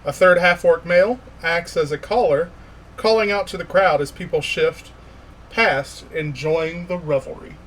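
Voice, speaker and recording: A man working as game master, narrating a tabletop fantasy game in an informal, halting way with pauses. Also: fairly high pitch, fairly bright tone, low-quality recording.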